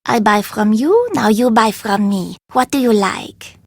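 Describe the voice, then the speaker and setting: An actress playing a proud, stubborn street vendor in a video game, haggling emphatically in formal phrasing. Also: female voice, medium pitch, lively intonation, unhurried, vocal fry, dry recording, studio quality. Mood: proud, insistent. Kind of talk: video game character dialogue.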